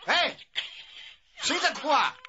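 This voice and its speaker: A man's voice: very high voice, big pitch swings, takes it slowly, noisy background, heavily acted. An actor playing a fussy, suspicious old grandfather in a radio drama, blustering and repeating his questions. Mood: scolding, demanding. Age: older. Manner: loud, blustering, repetitive questioning. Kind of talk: Chinese radio drama acting. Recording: dull lo-fi audio with music.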